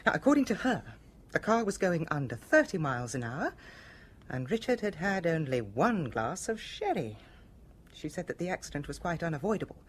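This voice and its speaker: A woman's voice: low voice, big pitch swings, normal speed, a little hiss or hum. A woman in a radio drama playing an anxious, genteel British wife, well-spoken and hesitant. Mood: tense, recounting.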